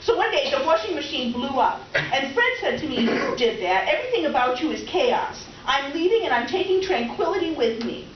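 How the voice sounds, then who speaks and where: High-pitched, theatrical oversharing houseguest played by a woman. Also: sing-song, fairly quick, hardly any pauses. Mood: confiding. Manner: rambling, self-absorbed, theatrical. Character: dramatic, oversharing, scatterbrained middle-aged woman houseguest. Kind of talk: comic stage reading. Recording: distant echoey room, muffled, faint noise.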